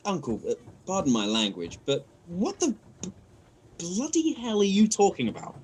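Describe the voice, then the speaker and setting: A man acting a cheerful, naive, excitable nephew in a comic radio play, gushing and exclamatory. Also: high-pitched, moderate pace, a little hiss or hum. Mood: concerned.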